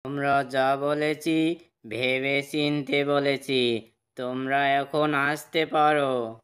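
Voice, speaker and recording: Firm male bird elder-statesman type for a cartoon man performer, crisp resonant voice, even, slow, stern. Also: medium pitch. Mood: firm, disapproving. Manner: stern, measured. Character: firm male bird delivering the community's verdict. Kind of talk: cartoon character dialogue.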